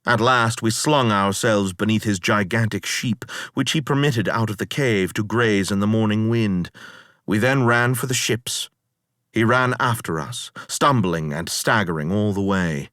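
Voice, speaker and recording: A man's voice: fairly low pitch, a bit bright, no echo, studio quality. A man performing a weary, proud ancient Greek hero who recounts his sea voyages as theatrical dramatic poetry, in a grave, declamatory manner. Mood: grave storytelling, then intense, urgent. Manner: grave, declamatory, deliberate storytelling with dramatic swells.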